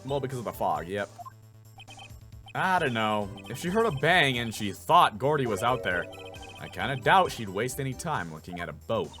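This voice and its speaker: A man hosting a game playthrough, thinking aloud in an animated way and repeating himself as he reads and comments. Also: medium pitch, normal speed, faint music behind. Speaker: voice actor host of a game playthrough. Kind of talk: casual commentary and reading game dialogue aloud.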